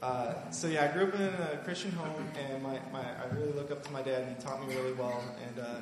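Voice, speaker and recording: A young man in his twenties, from Michigan, tells his personal testimony to a gathering. He speaks earnestly and haltingly, often pausing on fillers. His voice sits at an ordinary middle pitch. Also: steady tone, background noise. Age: 20s. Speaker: Bible school student.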